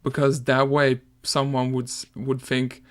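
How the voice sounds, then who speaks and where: A man's voice: mid-range voice, completely flat delivery, unhurried. A young man from Denmark with a Danish accent, chatting casually and laughing often while making music at home on a desk mic. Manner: laid-back, laughs often, hesitant restarts. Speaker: music producer streamer. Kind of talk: casual chat while producing.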